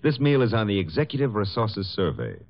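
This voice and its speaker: A wisecracking detective's sidekick from vintage radio. The voice is on the low side and swoops with lively, slangy charm. It has the muffled sound of an old broadcast. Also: male voice, a little fast, over music. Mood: breezy, flirtatious. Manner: breezy, slangy, flirtatious. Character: breezy wisecracking male detective's assistant, smooth ladies' man. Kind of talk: 1950s radio drama acting.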